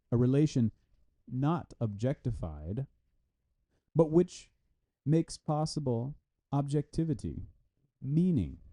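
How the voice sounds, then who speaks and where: A man reading a scholarly text aloud with deliberate, halting phrasing. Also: mid-range voice, warm tone. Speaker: reader of a philosophy article. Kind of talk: read-aloud scholarly text with brief explanatory asides.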